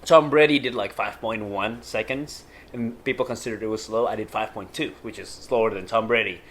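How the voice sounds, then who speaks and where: A man's voice: lowish voice, fairly quick, fairly bright tone. A young man from Indonesia, an athlete guest who talks in an upbeat way, laughs often and speaks slightly broken English. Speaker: guest, athlete and personal trainer. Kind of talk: casual interview chat.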